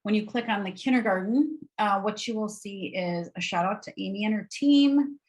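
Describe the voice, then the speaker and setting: A woman's voice: medium pitch, moderate pace, darkish tone. Nasal Wisconsin woman presenting. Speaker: presenter of educational resources. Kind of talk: informal webinar walkthrough.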